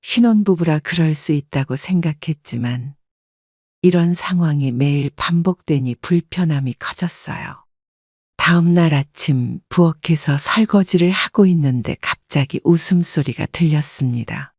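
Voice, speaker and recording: Calm woman narrating a story. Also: deep voice, moderate pace, no echo, clean studio sound.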